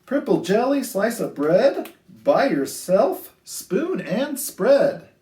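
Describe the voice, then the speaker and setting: A man hosting a children's storytime, reading picture books aloud in an expressive, sing-song way with drawn-out phrases. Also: sits high. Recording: roomy, echoey indoor sound.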